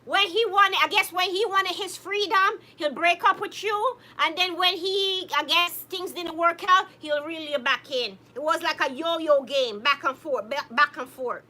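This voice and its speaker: Lady cohosting a live chat, super high voice, laughs a lot, reads out viewer comments. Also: a little slow, few pauses, a little hiss or hum. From Jamaica. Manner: laughs often, animated, addresses viewers by name. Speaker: co-host reading viewer comments. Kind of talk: casual live-stream chat.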